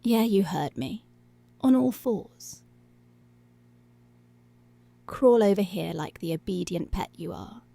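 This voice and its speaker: A woman's voice: mid-range voice, animated, warm tone, no echo, heavily acted. A woman playing a dominant, teasing policewoman in an intimate, half-whispered ASMR roleplay. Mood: domineering. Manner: slow, breathy half-whispered, possessive teasing.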